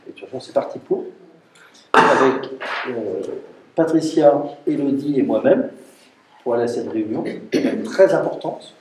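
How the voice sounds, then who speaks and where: A man's voice: mid-range voice, expressive, moderate pace, pauses often. A man speaking as a mayor at a council meeting, explaining things off the cuff in an emphatic way and repeating himself. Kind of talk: formal council briefing, spoken off the cuff. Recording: roomy, distant mic, faint noise and clicks.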